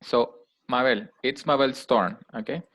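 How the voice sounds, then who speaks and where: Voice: mid-range voice, a little slow, fairly bright tone, lo-fi sound. An examiner running a speaking exam with short prompting questions.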